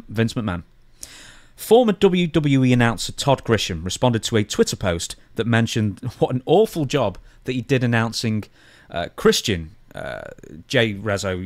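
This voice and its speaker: A British man with a fairly low voice talks as a podcast co-host. His delivery is casual, blunt and slangy as he moves through stories, and the sound is dry and close. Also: no room sound. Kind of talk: casual podcast chat, reading out news stories.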